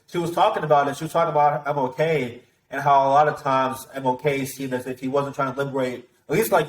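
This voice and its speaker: A man, a soldier who is a guest, talking fast and emphatically in casual conversation and swearing freely. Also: mid-range voice, echoey room, low-quality recording. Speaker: guest, active-duty soldier.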